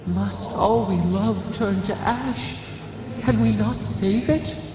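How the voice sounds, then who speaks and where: A man voicing an ancient, mournful otherworldly spirit in a video game, solemn, cryptic and creaky. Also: high-pitched, steady tone, slow, exaggerated voice. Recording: long echoing reverb, music behind.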